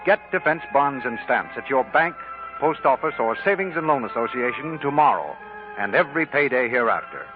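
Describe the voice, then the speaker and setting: A man's voice: mid-range voice, expressive, moderate pace. An American man reading scripted announcements in the formal, emphatic style of 1940s radio. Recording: vintage 1940s radio, muffled, music.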